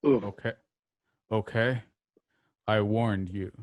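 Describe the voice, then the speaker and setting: A man acts out a stern warrior mentor in a radio drama. His voice sits fairly low and moves very slowly, with solemn, terse phrasing. The sound is close and dry. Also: steady tone, a little mellow, dry recording. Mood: stern warning. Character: stern, stoic male warrior mentor and fighter.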